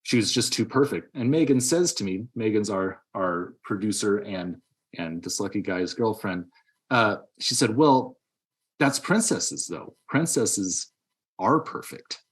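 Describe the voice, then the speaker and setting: A man's voice: fairly low pitch, animated, unhurried. A man co-hosting a podcast, giving casual movie opinions and acting out quoted reactions as he tells stories. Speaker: podcast co-host. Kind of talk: casual movie review chat.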